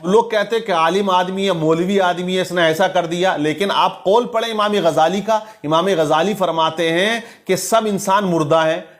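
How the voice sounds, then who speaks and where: A man's voice: high voice, normal speed, few pauses. A man presenting online news commentary in a preachy, denunciatory manner, quoting religious sayings.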